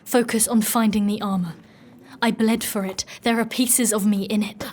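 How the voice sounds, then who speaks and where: Actress doing a stern proud lady knight, formal and bossy, dark fantasy audio play. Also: medium pitch, steady tone, moderate pace, close to an everyday voice. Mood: desperate, bitter. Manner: formal, commanding. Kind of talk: dark fantasy audio drama acting with solemn narration.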